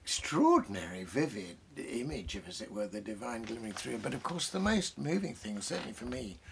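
A British man in his sixties, a host talking about books and reading aloud, reflective and savouring his phrases with deliberate pauses. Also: medium pitch, big pitch swings, creaky, a bit bright, slight background noise. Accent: British English. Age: 60s. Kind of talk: bookish commentary and reading aloud. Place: small book-crammed study, indoors.

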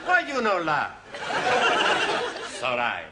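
Boastful Spanish student clowning in halting English; lively, unhurried delivery over a hissy sitcom track. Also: male voice, fairly high pitch, over a noisy crowd, exaggerated voice. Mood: cheeky. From Spain. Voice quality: broken English, laughs at own jokes. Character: boastful joking Spanish man, cheerful show-off. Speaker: adult language student. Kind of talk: 1970s studio sitcom acting. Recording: studio audience laughter, hiss.

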